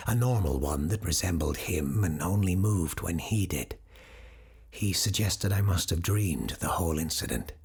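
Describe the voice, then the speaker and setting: Grave occult radio host, very husky, deep voice, measured theatrical storytelling, radio drama. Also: male voice, heavily acted. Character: grave male doctor hosting an occult radio show.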